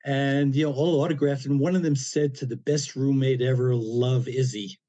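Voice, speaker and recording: Mellow-voiced older man telling stories. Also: medium pitch, fairly even intonation. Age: around 70. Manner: unhurried anecdotal telling with frequent "you know" fillers and dry humor. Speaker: podcast guest, author. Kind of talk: casual storytelling interview.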